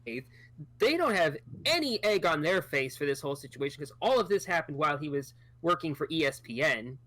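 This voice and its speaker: A thirty-year-old podcast co-host in casual sports chat, chatty and trailing off into low asides. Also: sing-song, normal speed, a bit bright.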